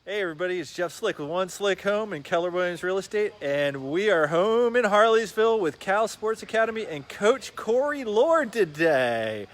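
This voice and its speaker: A man's voice: high voice, lively intonation, moderate pace, hardly any pauses. A man hosting a casual livestream interview in an upbeat, chummy way. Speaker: interviewer, real estate agent. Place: sports academy gym.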